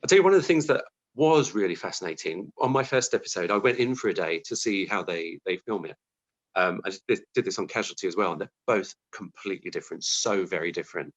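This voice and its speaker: A man's voice: sits low, lively intonation, fast, dull audio. A man giving a casual interview as a guest, thoughtful and hesitant, often restarting his sentences. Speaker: interview guest, television scriptwriter.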